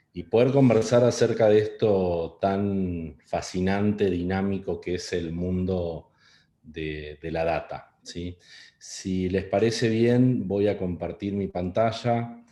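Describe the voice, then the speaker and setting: A man's voice: sits low, mellow, roomy sound. A middle-aged man with an Argentine Spanish accent giving an online webinar talk, hesitant, with many fillers and restarts. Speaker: webinar speaker. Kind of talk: online presentation.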